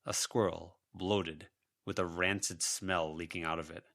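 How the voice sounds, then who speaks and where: A man's voice: sits low, steady tone, a little slow, gravelly, a bit bright. A man narrating a fiction audiobook from a written text. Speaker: audiobook narrator, volunteer reader.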